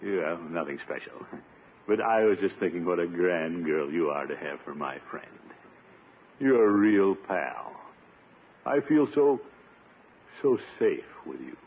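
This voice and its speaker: An actor playing a pompous, flustered middle-aged bachelor in a 1950s radio comedy, hemming and blustering. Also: male voice, low-pitched, expressive, unhurried, vocal fry, pauses often, exaggerated voice. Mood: sheepish, relieved. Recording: muffled, noisy vintage radio broadcast.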